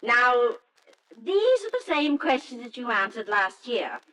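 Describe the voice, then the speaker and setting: Measured, formal adoption caseworker. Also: female voice, a little high, sing-song, sharp tone, heavily acted. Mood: brisk, official. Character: businesslike, courteous adoption agency caseworker, Miss Oliver. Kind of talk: 1940s radio acting. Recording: noisy old radio drama recording.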